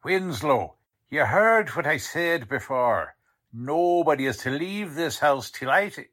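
An actor playing a blunt, slangy, wisecracking police lieutenant in a 1940s style radio drama. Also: male voice, a little high, expressive, measured pace, fairly bright tone. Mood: exasperated.

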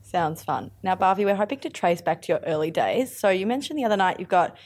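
A woman's voice: lowish voice, lively intonation, quick pace, a little mellow. A woman interviewing a guest in a casual, encouraging way. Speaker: podcast interviewer.

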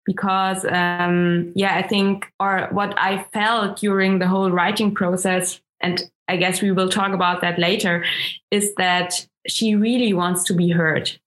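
A woman with a German accent, her first language German, talks slowly in an interview. She pauses on many ums and restarts her sentences as she searches for words. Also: fairly low pitch, level tone, fairly bright tone. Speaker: guest, astrologer. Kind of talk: interview conversation.

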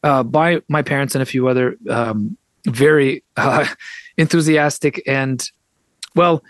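Man, middle-aged, native Russian speaker, animated wry storyteller, mid pitch, dry sound. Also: unhurried, no echo. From United States. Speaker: guest author and translator. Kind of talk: conversational interview storytelling.

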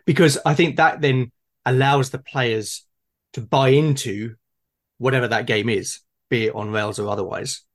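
A man's voice: medium pitch, fairly even intonation, normal speed. A man talking casually in a discussion, tentative and often repeating his words as he works out a thought. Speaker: podcast guest. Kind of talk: casual conversation about tabletop gaming.

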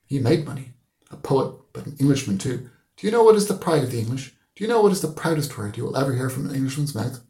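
Man voicing a pompous old schoolmaster, raised pitch. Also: big pitch swings, fast, warm tone, reverberant. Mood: preachy. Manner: emphatic, lecturing, rhetorical questions. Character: pompous, opinionated old male schoolmaster, preachy and gleeful. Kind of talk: audiobook character voice.

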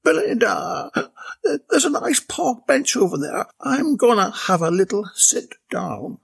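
A wheezing, tipsy woman squeaks along, breathless and whiny, as a man puts her on. The voice sits high and swoops a lot, with a raspy, gravelly edge. It reads as a comic storybook part. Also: very animated, a little slow, a bit bright, heavily acted.

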